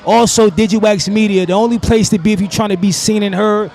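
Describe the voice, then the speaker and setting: A man's voice: high voice, fairly even intonation, fairly quick, a little mellow. A man from New Jersey hosting a podcast, talking in a laid-back, slangy hype-man way. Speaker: podcast host. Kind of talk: casual interview. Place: outdoors.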